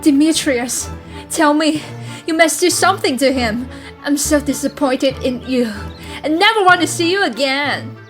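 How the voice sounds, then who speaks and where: A woman's voice: sits high, a little slow, over music. A woman playing a distraught, accusing young woman in love in an amateur radio drama. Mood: accusing, upset.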